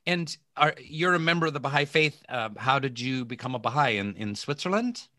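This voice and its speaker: Guy hosting a podcast on a video call, bright forceful voice, dry sound, lots of ums. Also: medium pitch, animated, no room sound. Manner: enthusiastic, halting with fillers. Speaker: podcast host. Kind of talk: casual video call chat.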